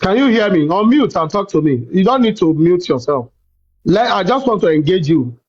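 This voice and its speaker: Man hosting, high lively voice. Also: muffled recording. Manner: repeats words, cuts in with rapid "wait" and "hold on". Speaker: live stream host. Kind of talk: heated live call-in debate.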